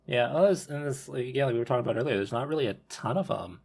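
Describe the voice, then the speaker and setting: A man's voice: medium pitch, lively intonation, very fast, creaky, a little mellow, husky. A man hosting a casual podcast discussion, talking in a rambling, loose way with many restarts. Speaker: podcast host.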